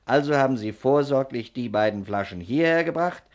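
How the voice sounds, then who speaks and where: An actor playing a shrewd, authoritative investigator in a 1960s German radio crime drama, speaking briskly and methodically. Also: male voice, medium pitch, expressive, natural voice. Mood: accusing, relentless. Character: shrewd, commanding male private investigator, methodically accusing.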